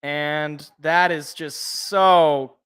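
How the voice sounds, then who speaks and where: A young man calling a football game play-by-play from a sports podcast, casual, with a slightly rough, sniffly voice from allergies. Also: on the high side, drawn-out words. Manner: excited calls, casual asides. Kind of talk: live play-by-play commentary.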